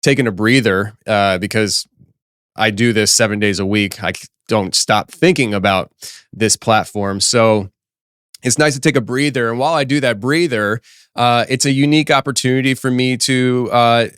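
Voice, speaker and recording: A man in his thirties hosting a live podcast stream, chatting casually and rambling with lots of fillers, his voice a bit hoarse from losing it. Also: mid-range voice, fairly even intonation, normal speed, a bit bright, no echo, studio quality. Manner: rambling, talkative, many fillers and restarts. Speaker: podcast host. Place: office studio.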